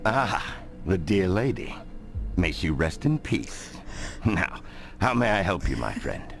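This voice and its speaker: A courteous minor Templar in a game cutscene. The voice is deep and creaky, with expressive dips. His manner is smoothly obliging, over faint music. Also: slow pace, exaggerated voice. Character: courteous, low-ranking male Templar informer. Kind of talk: scripted game cutscene acting.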